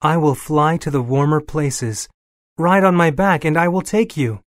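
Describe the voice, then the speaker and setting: A man voicing a kind, gentle male bird in a storybook reading. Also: a little high, a little slow, dry recording, clean studio sound, pitched above the performer's own voice, close to an everyday voice.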